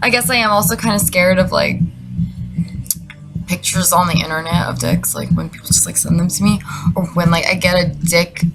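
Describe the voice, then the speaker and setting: Creaky-voiced woman in sarcastic comedy commentary. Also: lowish voice, fairly even intonation, moderate pace, rough recording. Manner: sarcastic, rambling, incredulous asides. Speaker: comedy video creator. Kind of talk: deadpan comedic commentary and dramatic reading. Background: music.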